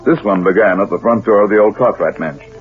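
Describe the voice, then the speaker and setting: Unflappable fat private eye male actor, low voice, wry noir delivery, thin old radio sound. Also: over loud music, lightly acted. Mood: wry, cool. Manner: wry, slangy, unhurried. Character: heavyset hard-boiled private detective, wry and unflappable. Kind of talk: old-time radio noir narration and dialogue. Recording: tinny vintage radio recording.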